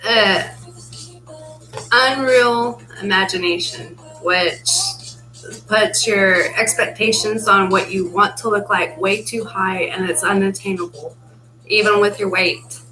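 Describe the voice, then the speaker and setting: A woman with a bright, forceful voice answers questions in short, matter-of-fact replies. Her pitch sits in the middle range. Soft music lingers faintly in the background. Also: fairly even intonation, slow pace, rough recording. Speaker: spouse of the host. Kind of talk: casual chat.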